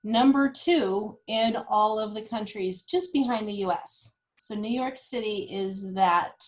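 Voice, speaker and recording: A woman giving an informal walkthrough of data, thinking aloud as she goes in a halting way. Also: medium pitch. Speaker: guest presenter explaining data; cancer research nurse.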